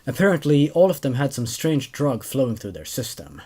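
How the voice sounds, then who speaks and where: A man in his twenties with an expressive, emphatic way of talking, hosting horror stories. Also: mid-range voice, normal speed. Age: 20s. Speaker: host reading horror stories. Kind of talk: dramatic story narration, then casual commentary.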